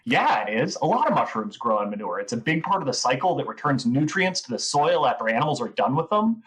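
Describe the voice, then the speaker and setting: A woman's voice: a bass voice, sing-song, fast. A woman playing a gushing, rambling gnome who is obsessed with mushrooms, in a comedic audio drama. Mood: eager lecturing. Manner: gushing, rambling, wide-eyed enthusiasm.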